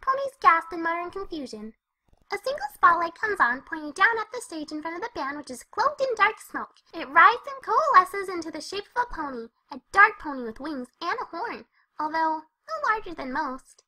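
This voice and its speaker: A woman voicing a bubbly, excitable young female cartoon pony, perky and chatty as she narrates a storybook tale. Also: extremely high pitch, moderate pace, heavily acted, rough recording. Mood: animated storytelling. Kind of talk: first-person storybook narration with character dialogue.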